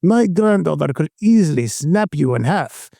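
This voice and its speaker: A man acts out a proud, domineering grandfather in an audio drama. His voice is dark and gravelly, recorded close and dry with faint music underneath. He is stern, teasing and quick to threaten. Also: animated, no room sound. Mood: scornful, menacing. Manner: stern, teasing, booming laughs.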